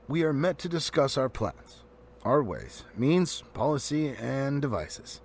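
A man's voice: medium pitch, big pitch swings, unhurried, breathy edge, heavily acted. A man voicing a pompous, solemn dwarf leader giving a formal, long-winded speech in a storybook reading. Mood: solemn, grand.

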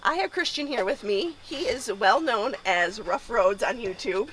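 A woman's voice: on the high side, lively intonation, measured pace, fairly bright tone, slightly rough, rough recording. A middle-aged woman interviewing trailer owners outdoors at a campground, friendly and brief. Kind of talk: casual interview questions.

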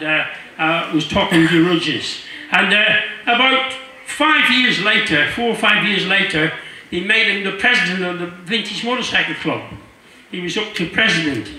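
An older man speaks informally to a club gathering, wandering through his stories. His voice sits high for a man. It comes from a distance, heavily echoing, with clear background noise. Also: pushed and bright. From Ireland. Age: 60s or older. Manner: rambling, storytelling. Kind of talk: informal anecdotal speech to a gathering. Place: inside a marquee at a club rally. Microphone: handheld microphone through PA, captured by distant camera. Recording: echoey, noisy PA recorded from far off.

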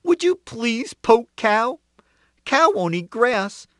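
A woman doing a high, pleading storybook rooster. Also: very animated, slow, fairly bright tone, no echo, studio quality, exaggerated voice. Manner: pleading, repeating a growing list. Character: fussy eager male rooster. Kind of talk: children's storybook reading. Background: music.

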